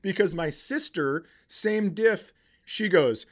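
A man's voice: high voice, slow. A middle-aged man, a comedian podcast host, telling stories casually in a profane way and acting out what people said. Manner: profane, animated retelling with acted-out quotes. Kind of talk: casual comic storytelling.

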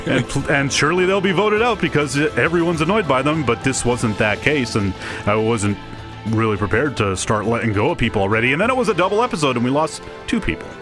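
A man co-hosting a podcast in casual conversation, telling things in an animated, emphatic way. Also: mid-range voice, fast. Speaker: podcast co-host. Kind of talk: casual chat about TV. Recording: music behind the talk.

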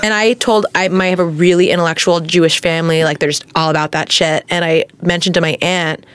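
Lower-voiced playful woman podcast co-host. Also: steady tone, brisk, a bit bright, clear, resonant tone, no room sound. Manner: playful, swears, teases, says like often. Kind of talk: casual chat.

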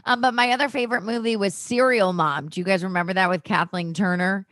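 A woman's voice: medium pitch, steady tone, fast, a bit bright, dry recording. A woman actress as a podcast guest, telling stories in casual chat, laughing often and swearing freely.

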